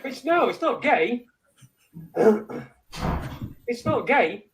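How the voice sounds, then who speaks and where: A man's voice: very high voice, very animated, extremely slow. A man hosting a live online show, rambling and swearing freely in casual banter. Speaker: show host.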